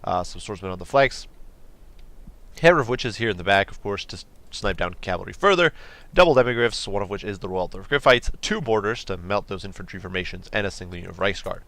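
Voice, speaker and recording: A man commentating a strategy game battle in a quick, chatty way, his voice nasal from a cold. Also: low-pitched, pushed and bright. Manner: quick, chatty, many fillers and restarts. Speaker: gameplay commentator.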